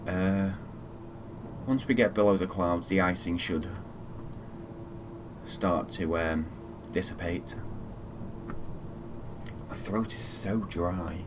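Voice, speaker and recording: A man from Fiji giving casual, low-key live commentary as a flight simulator streamer, muttering through procedures as he goes. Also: slow, long pauses, noisy background.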